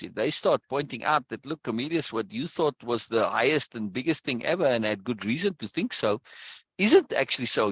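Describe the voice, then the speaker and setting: A man's voice: medium pitch, breathy edge, no echo, highs cut off. Man explaining at length, always checking in. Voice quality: loud. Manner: explains at length with repeated check-ins like "right?" and "yes?". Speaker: discussion leader. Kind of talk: casual chat on a video call. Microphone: close boom mic.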